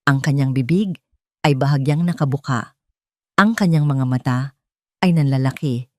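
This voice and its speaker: Female audio drama narrator, low and mellow, recorded dry, telling a scripted story. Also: no echo.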